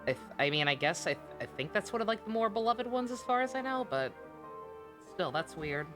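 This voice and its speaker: A woman live streamer with a lively, swinging delivery at a middling pitch. She chats casually and sarcastically, swearing freely, with game music behind her. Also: a little fast. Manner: sarcastic, profane.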